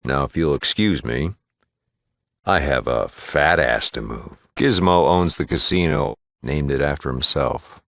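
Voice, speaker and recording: A male actor playing a gruff, plain-spoken small-town shopkeeper and lawman in a video game, with a gravelly American voice and a folksy, matter-of-fact manner. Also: lively intonation, unhurried, a bit bright, dry recording, clean studio sound, heavily acted. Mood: brisk, businesslike. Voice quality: gravelly, low. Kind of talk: video game character dialogue.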